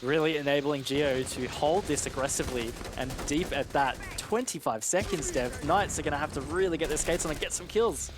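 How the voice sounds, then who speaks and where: A man's voice: a little high, brisk, hardly any pauses. A man giving analytical live commentary on an esports match in a conversational, explanatory way. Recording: clear game noise, gunfire behind.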